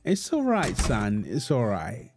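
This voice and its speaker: Guy playing a sweet young dad in a comfort audio roleplay, soothing n coaxing, voice moves up and down a lot, mid pitch. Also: wildly swooping pitch, slow pace. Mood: reassuring, tender. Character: gentle, reassuring young father and husband. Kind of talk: intimate comfort roleplay, casual one-sided dialogue.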